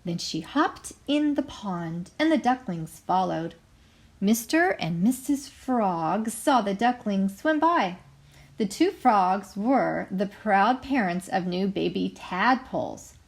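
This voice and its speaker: A woman teacher reading a story aloud to young children, expressive and carefully enunciated, pausing to explain. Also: medium pitch.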